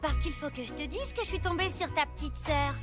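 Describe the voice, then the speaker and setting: A very high, swooping voice as a scheming kid gang leader in a cartoon. The manner is falsely sweet and taunting, with a French accent. Loud music plays behind. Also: female voice, expressive, a little fast. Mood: smug, threatening. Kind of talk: animated cartoon acting.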